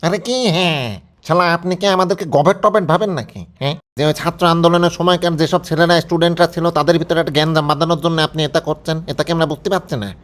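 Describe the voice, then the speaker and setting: A man as a rapid-fire, exasperated phone interviewer. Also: fairly high pitch, steady tone, fairly bright tone, close to an everyday voice. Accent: Bangladeshi. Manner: scolding, mocking, incredulous. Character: exasperated, sarcastic interviewer grilling a politician by phone. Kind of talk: scripted satirical phone-call sketch, colloquial.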